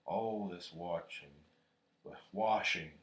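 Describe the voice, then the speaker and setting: Guy doing a gloomy old donkey from a storybook, slow self-pitying mutter, echoey room. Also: low-pitched. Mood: grumbling. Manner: slow, mournful, grumbling.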